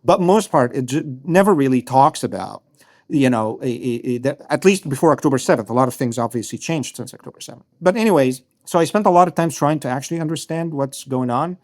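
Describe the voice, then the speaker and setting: A man's voice: mid-range voice, animated, a little fast, darkish tone. A man in his thirties from Egypt, a guest writer giving long, reflective interview answers with frequent restarts and fillers. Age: 30s. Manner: thoughtful, many restarts and fillers.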